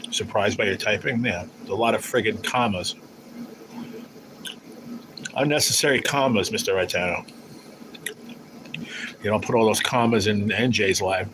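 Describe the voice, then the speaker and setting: A man's voice: fairly low pitch, moderate pace, long pauses, noisy background. A man hosting a casual livestream, chatting in a rambling, teasing way as he reads viewer comments. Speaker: livestream host.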